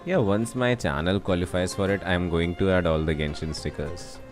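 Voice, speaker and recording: A young man with an Indian accent and a very low voice. He chats casually as a game streamer, commenting on play, with faint music underneath. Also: steady tone, moderate pace.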